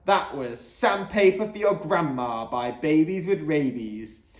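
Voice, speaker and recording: A storybook reader voicing a gruff, brash male DJ on an illegal metal radio station, with a gravelly voice and slangy hype. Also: medium pitch, animated, unhurried, echoey room, natural voice. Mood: rowdy hype.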